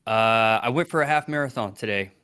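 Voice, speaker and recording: Easygoing man with smooth low voice. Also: a little fast, bright, forceful tone, no echo, clean studio sound. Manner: easygoing, curious, chatty. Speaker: podcast co-host. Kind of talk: casual chat.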